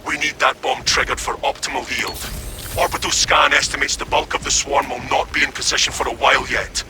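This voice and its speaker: An actor playing a stern male officer who gives a squad clipped, brisk orders over the radio. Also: mid-range voice, little pitch movement, natural voice. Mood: commanding. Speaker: commanding officer. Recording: game audio over loud music.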